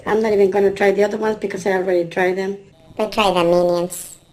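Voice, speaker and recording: A man's voice: high voice, little pitch movement, quick pace, a little mellow, voice pushed up. A man voicing a dismissive female-sounding character through a voice changer.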